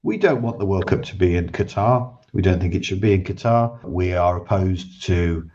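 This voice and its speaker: A man hosting a casual football podcast, husky from a cold, teasing his co-host and reeling off stats dryly. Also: low voice, expressive, moderate pace, a little mellow. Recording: dull lo-fi remote audio with faint hiss.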